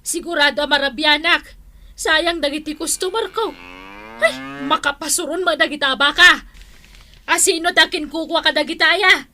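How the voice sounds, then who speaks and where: A woman's voice: high voice, moderate pace, pushed and bright. A woman playing an exasperated village councilwoman in an Ilocano comedy drama, with a Filipino Ilocano accent. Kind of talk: acted village comedy dialogue.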